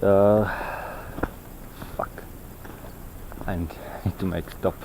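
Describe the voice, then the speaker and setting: A man giving an unscripted, rambling monologue while walking outdoors, halting and fragmented as he searches for words. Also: sits low, flat delivery, gravelly, very noisy. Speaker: vlogger. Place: outdoors on a walk in nature.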